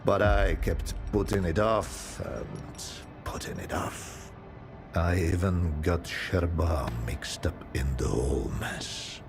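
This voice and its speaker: An actor playing a weary, regretful scientist in a video game, speaking in a resigned way. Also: male voice, low voice, music in the background. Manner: slow, resigned, sighing. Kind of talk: video game dialogue acting.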